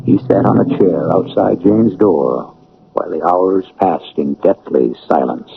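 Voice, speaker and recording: A man hosting and narrating a gothic radio drama in a gravelly, ominous, deliberate, theatrical manner. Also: sits low. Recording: narrow, tinny old radio broadcast. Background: loud dramatic music.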